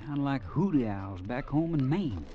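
An actor playing a wry, grumbling cavalry trooper on night watch in an old-time radio drama. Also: male voice, medium pitch, animated, measured pace, close to an everyday voice. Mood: wry, edgy. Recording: dull, faintly noisy 1950s radio recording.

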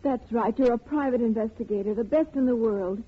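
A naive young chorus girl in an old radio drama, with a high, slightly breathy voice. She sounds earnest and anxious, pleading her case over a muffled, narrow-band vintage recording. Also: a little fast. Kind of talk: 1940s radio drama acting.

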